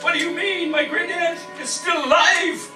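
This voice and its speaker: A man's voice: very high voice, steady tone, moderate pace, loud music behind the voice. A man playing a bumbling, pompous, oblivious spaceship captain in a comic live radio play, rambling and blustering theatrically. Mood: excitable bluster. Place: live stage performance in a room. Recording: distant mic in echoey room, faint noise.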